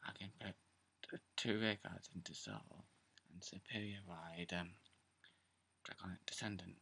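A young man with a sore, croaky throat, talking quietly in a half-whisper at home while he goes through his card game deck for a casual video. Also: low-pitched, steady tone, moderate pace. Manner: hushed, half-whispering late at night. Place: indoors, seated on a bed or floor at home. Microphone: camera close in front, pointed at his hands.

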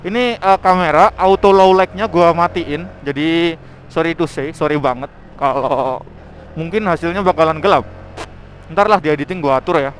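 A man chatting casually and excitably in slangy style as he vlogs while riding outdoors. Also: fairly high pitch, normal speed, slight background noise. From Indonesia. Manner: excitable, exclamatory, interjection-filled. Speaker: motovlogger. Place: outdoors, riding a motorbike on a forest mountain road.

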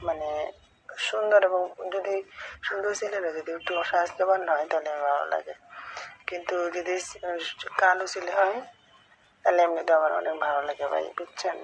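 A young woman with a Bangladeshi accent chats casually over a phone call with noise behind her. Her voice is hoarse and creaky from a cold, staying fairly monotone as she questions and repeats herself. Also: on the low side, a little fast. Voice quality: hoarse, broken voice. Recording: noisy phone call recording.

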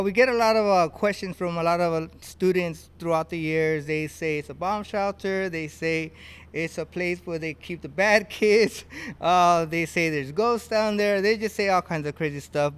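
A man's voice: high voice, normal speed. A man in his fifties giving a casual, explanatory walking tour as a school plant supervisor. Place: school stairwell and basement electrical room. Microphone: handheld interview microphone. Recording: echoey concrete basement, noisy handheld mic.

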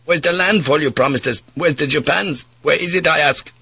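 A man's voice: on the high side, a little fast, creaky, bright, forceful tone, husky, no echo, pitched above the performer's own voice, heavily acted. A man doing a sick, whining young sailor. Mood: resentful. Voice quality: strained, complaining.

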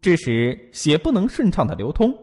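An actor playing a glib court physician in an audio drama, explaining emphatically and deferentially. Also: male voice, on the high side, very animated, normal speed, darkish tone, breathy edge. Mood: earnest, explaining. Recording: long hall reverb, music behind.

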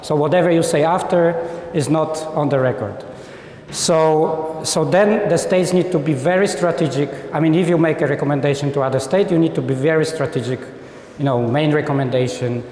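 A man's voice: on the high side, brisk, darkish tone. A man, giving a conversational lecture and acting out quoted diplomats. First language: Polish. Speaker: lecturer on human rights. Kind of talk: informal lecture. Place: lecture hall with seated audience. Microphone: lapel mic. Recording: echoey hall.

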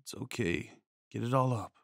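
A man performing an ASMR comfort roleplay as a tender, worried boyfriend, speaking in a hushed, soothing way with sighs and shushing. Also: mid-range voice, moderate pace, gravelly, dry recording, clean studio sound.

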